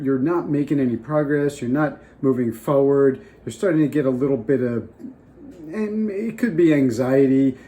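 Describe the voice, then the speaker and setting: A man's voice: medium pitch, mellow. An older man getting over a cold, giving casual off-the-cuff advice with many restarts. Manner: rambling, restarts often, off the cuff. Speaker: vlogger. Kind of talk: casual advice talk to camera.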